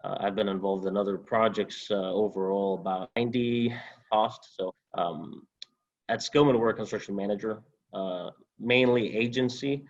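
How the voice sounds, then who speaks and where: A young man from Honduras, a former student, answering interview questions over a video call in a halting way with many fillers. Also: fairly low pitch, steady tone, muffled recording. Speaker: alumnus guest.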